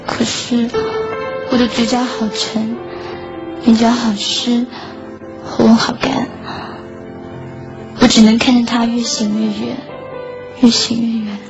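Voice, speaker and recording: A woman as a wistful high-voiced lovelorn schoolgirl. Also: little pitch movement, takes it slowly, long pauses. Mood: sorrowful. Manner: wistful, earnest, softly self-effacing. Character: lovelorn schoolgirl narrating her quiet heartbreak. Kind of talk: Chinese radio drama acting with inner monologue. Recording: dull lo-fi audio under clear music.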